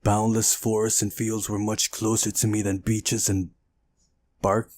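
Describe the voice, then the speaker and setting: A man's voice: lowish voice, level tone, measured pace, a little mellow. A man hosting a visual novel playthrough, reading the game's narration aloud and adding casual commentary.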